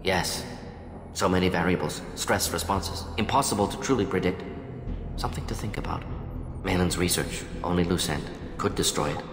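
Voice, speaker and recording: A man's voice: deep voice, moderate pace, lightly acted. A man playing an aging alien scientist and professor in a video game, speaking in clipped, telegraphic phrases that drop small words. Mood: pensive, clipped. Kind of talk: video game cutscene acting. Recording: echoey hall reverb under background music.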